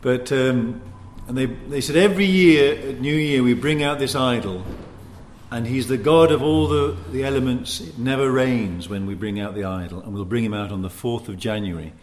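A man preaching in a teaching sermon, speaking in deliberate, emphatic short phrases with pauses between them. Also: mid-range voice, a little hiss or hum. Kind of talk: sermon teaching with scripture and anecdotes.

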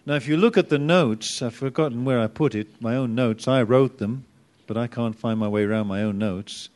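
A man's voice: medium pitch, moderate pace, darkish tone. A man teaching an informal Bible class, chatty and self-deprecating, with sudden bursts of emphasis.

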